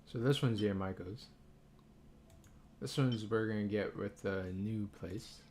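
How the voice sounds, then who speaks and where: Male game streamer, lowish voice, off-the-cuff thinking aloud in short bits, noticeable noise and clicking behind. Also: a little slow, darkish tone. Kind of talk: casual gameplay commentary. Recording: clearly noisy, clicking sounds.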